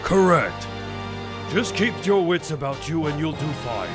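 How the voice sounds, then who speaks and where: A man acting a stern, formal courtroom judge in a game fandub, speaking solemnly and deliberately. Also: on the high side, very animated, over loud music. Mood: solemn, authoritative.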